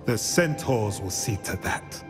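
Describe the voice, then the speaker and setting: A stately, protective centaur for a fantasy game, deep and solemn. The delivery is slow and formal with strongly varied intonation, set in long reverb under loud music. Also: male voice, expressive. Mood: reassuring. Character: solemn noble male centaur, grave and protective. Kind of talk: dramatic video game acting.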